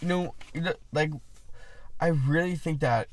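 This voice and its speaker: A man's voice: fairly high pitch, moderate pace, slight background noise. A man hosting a casual interview, talking in long rambling turns full of fillers. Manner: rambling, many fillers and restarts. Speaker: interviewer host.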